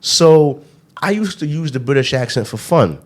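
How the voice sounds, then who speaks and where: A man's voice: on the high side, animated, normal speed. A young man hosting a podcast in casual chat, telling loose stories and often checking in with "you know what I mean". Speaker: podcast host.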